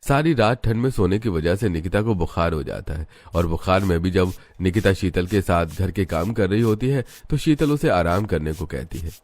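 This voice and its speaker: A man's voice: low voice, expressive, normal speed, few pauses, a little mellow. A man reading scripted narration for a family cartoon story. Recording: music bed behind the voice.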